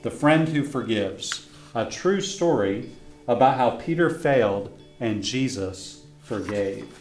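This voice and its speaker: A friendly man reads a children's story in an even, middle-pitched voice and pauses to put questions to young listeners. The room around him is roomy and echoey. A faint guitar plays behind him. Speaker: professor reading his own children's book. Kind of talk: reading a children's story aloud.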